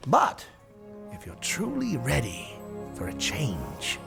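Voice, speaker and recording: An actor playing a whimsical, eccentric bookshop owner in an audio drama, theatrical and playfully erudite. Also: male voice, mid-range voice, huge pitch swings, slow, creaky, over music, exaggerated voice. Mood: knowing, earnest.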